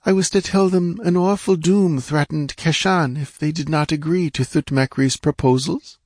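A man putting on a high, tearful, terrified dancing girl. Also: moderate pace, a little mellow, dry recording, clean studio sound, natural voice. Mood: frightened. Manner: pleading, tearful, breathless.

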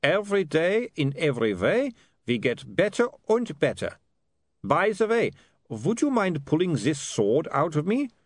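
A man's voice: fairly high pitch, sing-song, a little slow, dry recording, pitched above the performer's own voice. A man voicing a cheerful, unflappable male vampire who talks in a breezy, teasing way, in a dry, close recording. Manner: breezy, teasing, lightly sardonic.

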